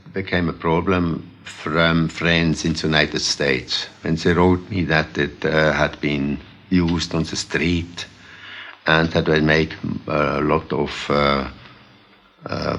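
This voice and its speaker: A man's voice: a bass voice, a bit bright. A man recalling things in an interview, hesitant and searching for words. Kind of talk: halting interview recollection.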